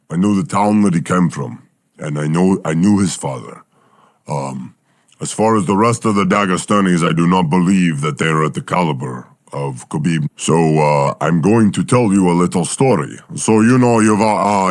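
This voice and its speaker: Igor, a deep talking bear. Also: male voice, no echo, clean studio sound. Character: gruff male Russian talking bear, ex-war project. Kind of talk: improvised comedy sketch.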